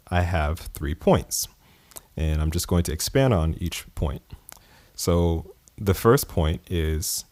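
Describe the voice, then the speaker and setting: Deep-voiced man hosting a casual podcast. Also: darkish tone, dry recording. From East Coast of the United States. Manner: conversational, rambling with fillers and chuckles. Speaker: podcast host.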